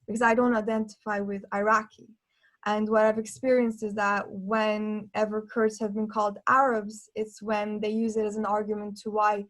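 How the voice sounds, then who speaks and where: A woman from Norway taking part in a panel discussion, talking in an earnest, reflective way and pausing to restart her thoughts as she goes. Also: medium pitch, a bit bright, poor audio. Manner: earnest, thinking aloud with pauses and restarts.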